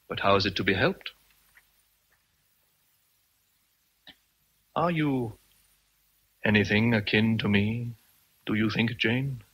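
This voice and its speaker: Casting: brooding British master of the house, bright forceful voice, slightly rough, theatrical period radio drama. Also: male voice, lowish voice, poor audio. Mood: tender, probing. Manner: teasing, theatrical, deliberate. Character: brooding, teasing gentleman master in love with his governess.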